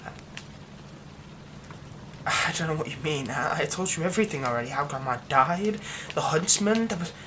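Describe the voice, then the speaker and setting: A man voicing a haunted young man hiding a werewolf curse, speaking in a hushed, halting way that often drops to a whisper. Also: on the high side, expressive, background noise, lightly acted. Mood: nervous, haunted. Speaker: ASMR roleplay performer. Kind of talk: ASMR horror roleplay.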